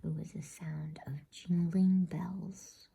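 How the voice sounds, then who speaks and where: A woman reading a bedtime story as ASMR in a soft, unhurried, breathy, intimate whisper. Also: low voice, level tone.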